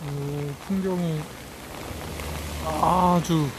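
A travel vlogger speaks at a slow pace, murmuring casual remarks and exclamations as if to themselves. The pitch sits in the middle. It is recorded outdoors on a handheld device, with clearly audible rain and vehicle noise behind the voice. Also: fairly even intonation. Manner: musing to self, murmured exclamations. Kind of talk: casual, spontaneous commentary while sightseeing. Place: outdoors on a bridge and harbour waterfront in rain. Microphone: handheld phone or camera held by speaker. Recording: noisy outdoor phone recording, rain and traffic.

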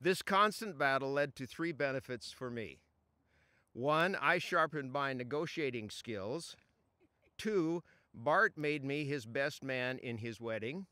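An older man reads a written tribute outdoors at a stand mic. His voice is bright and smooth, recorded dry and close. He reads in a measured, solemn way. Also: mid-range voice, expressive, no echo. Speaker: reader of a friend's tribute. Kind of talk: reading a written eulogy aloud. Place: outdoors at a funeral gathering among trees.

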